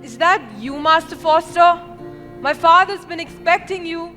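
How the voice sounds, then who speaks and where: Woman voicing a gentle young lady, high-pitched, long reverb, music behind. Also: measured pace, exaggerated voice. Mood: earnest.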